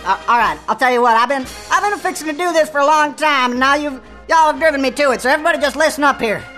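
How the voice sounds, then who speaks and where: A woman playing a bumbling, excitable male president in a satirical comedy, stammering in a folksy Southern drawl. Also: high-pitched, quick pace, faint music behind. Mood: flustered, pleading. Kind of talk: satirical cartoon-style comedy sketch acting.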